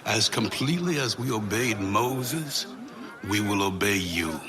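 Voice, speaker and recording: A slow, resolute, loyal tribesman. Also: on the low side, animated. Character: loyal Israelite tribesman pledging obedience for his people. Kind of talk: dramatised scripture audio drama. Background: crowd.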